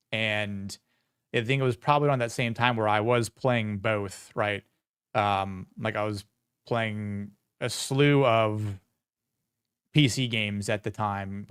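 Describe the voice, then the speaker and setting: Male streamer host, low smooth voice, loose rambling chat full of "um" and "like", dry close mic. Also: fairly bright tone, dry recording. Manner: rambling, many fillers and restarts. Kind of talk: casual livestream chat.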